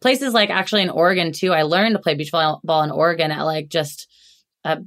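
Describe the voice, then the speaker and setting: A woman's voice: sits low, a little fast. A woman from Alaska, a chiropractor, talking casually as a podcast guest in a chatty, rambling way, full of "like"s. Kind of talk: casual interview chat.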